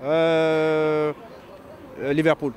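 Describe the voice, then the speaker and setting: A man's voice: on the high side, monotone, darkish tone. A man, a football fan, hesitantly giving his match prediction outdoors. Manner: hesitant, full of pauses. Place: busy city plaza outdoors.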